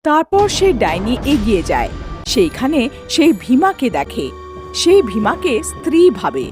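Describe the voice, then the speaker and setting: A woman's voice: sits high, huge pitch swings, normal speed, darkish tone, faint music behind. A woman narrating a scripted cartoon story as its storyteller.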